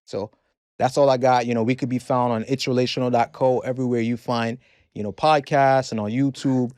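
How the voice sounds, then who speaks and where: Thirties male guest, earnest, halting, mid pitch, dry studio. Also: fairly even intonation, darkish tone, smooth, dry recording. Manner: earnest, stumbling restarts. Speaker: podcast guest. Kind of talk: casual interview chat. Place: podcast studio.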